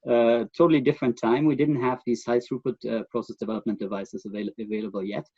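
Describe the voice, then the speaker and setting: A middle-aged man with a German accent speaks as the expert guest in a remote interview. He talks in a thoughtful, halting way, pausing on fillers and restarting his sentences. The audio is dull and close, with almost no room sound. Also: medium pitch, fairly even intonation, dark tone, slightly rough, dry recording.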